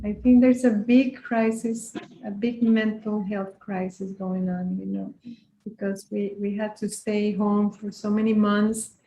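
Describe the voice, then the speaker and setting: A woman's voice: mid-range voice, roomy sound, a little hiss or hum, rough recording. A woman from Costa Rica, a yoga teacher, speaking earnestly and reflectively as an interview guest. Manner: soft-spoken, earnest, frequent restarts.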